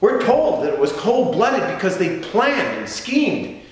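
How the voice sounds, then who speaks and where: A man playing a veteran defense lawyer in a theatrical courtroom monologue, pleading with oratorical, impassioned phrasing and dramatic pauses. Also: medium pitch, big pitch swings, fairly bright tone. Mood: accusing. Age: older. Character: veteran male defense lawyer pleading passionately for young clients' lives. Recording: very echoey room, faint noise.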